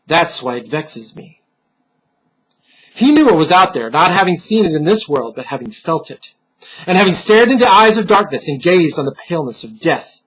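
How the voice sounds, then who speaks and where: Male storyteller for gothic horror, husky and higher-pitched, solemn theatrical delivery that sinks into hushed moments. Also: sing-song, a little fast, low-quality recording. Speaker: storyteller, reader.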